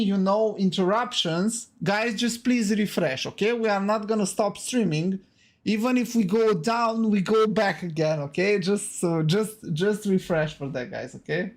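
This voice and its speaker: Romanian-accented man casting a live stream. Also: sits high. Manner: chatty, repeats words, keeps adding "okay?" and "guys"; hyping up the viewers. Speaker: esports caster and stream host. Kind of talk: solo live stream commentary.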